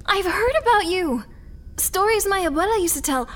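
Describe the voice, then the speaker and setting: High-voiced, bitter, guarded slum mother. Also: lively intonation, moderate pace, fairly bright tone. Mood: accusing. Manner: blunt, sardonic, suspicious. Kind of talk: audio fiction acting.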